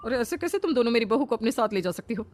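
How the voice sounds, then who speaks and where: A woman's voice: on the high side, animated, rapid-fire, a little mellow, a little hiss or hum, close to an everyday voice. A woman voicing a demanding, quarrelsome older mother-in-law in a cartoon, bossy and cajoling. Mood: indignant.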